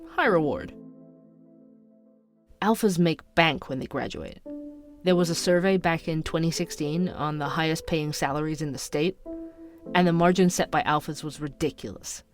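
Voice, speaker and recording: A man's voice: fairly high pitch, moderate pace, natural voice. Actor as a sardonic young male podcaster over music. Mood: suspicious, sardonic. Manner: wry, conspiratorial, conversational. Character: sardonic, suspicious young coffee-shop night worker turned podcaster. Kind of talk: first-person podcast narration in audio drama.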